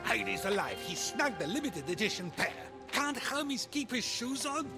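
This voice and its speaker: A brash, jocular god narrating a game. The delivery is theatrical and high, with extreme swings in pitch. Music plays clearly underneath. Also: male voice, very animated, a little slow, exaggerated voice. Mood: amazed, mocking. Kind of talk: comic video game narration.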